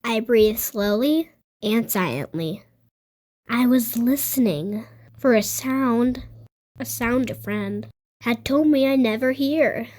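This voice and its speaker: A woman narrating a children's picture book aloud in an expressive, deliberate storytelling manner. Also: a little high. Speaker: storybook reader, host.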